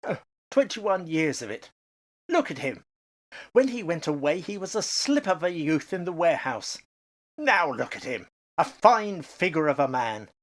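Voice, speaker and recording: A man's voice: fairly high pitch, sing-song, moderate pace, fairly bright tone. A man playing a grumbling yet hospitable elderly father, a retired working man, in a radio play, with a blustery, exclamatory manner. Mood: jovial, hospitable.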